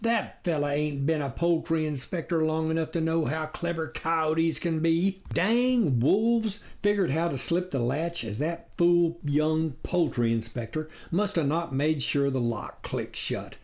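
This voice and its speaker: A man's voice: fairly high pitch, unhurried, few pauses, a little mellow. A man voicing a gullible, fretful country farmer in a storybook, with rustic, rambling talk. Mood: despairing. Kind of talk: storybook character reading.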